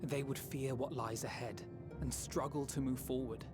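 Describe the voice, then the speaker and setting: An earnest young male scholar from a fantasy game, played by a man. He speaks with compassion in measured, formal phrases, at a middling pitch. Orchestral music plays clearly behind him. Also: fairly even intonation, lightly acted. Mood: solemn, empathetic. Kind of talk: dramatic fantasy game acting.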